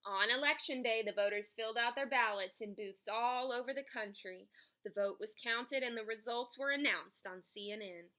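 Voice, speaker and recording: Bright-voiced woman reading a picture book aloud. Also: a little high, normal speed. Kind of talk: read-aloud storytelling for children.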